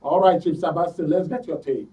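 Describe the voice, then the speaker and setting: A man's voice: a little high, brisk. Nigerian male radio host, dull distant sound. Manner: instructive, corrects callers. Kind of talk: live phone-in hosting. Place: radio studio.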